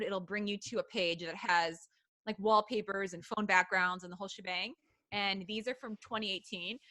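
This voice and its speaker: Giggly, bright-voiced woman podcaster. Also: medium pitch, no echo. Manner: giggly, excitable. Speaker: podcast co-host. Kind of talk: casual chat. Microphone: video call.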